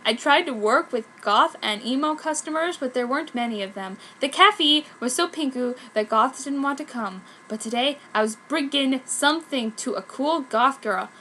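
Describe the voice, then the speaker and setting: A woman's voice: high voice, animated, moderate pace, few pauses, bright, forceful tone, slight background noise. A woman reading fan fiction aloud as an amateur, with a theatrical, exaggerated delivery. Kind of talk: dramatic amateur story reading.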